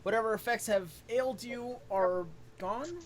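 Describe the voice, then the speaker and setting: A man narrating a tabletop game as game master, relaxed with many fillers. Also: high voice, moderate pace, a little hiss or hum.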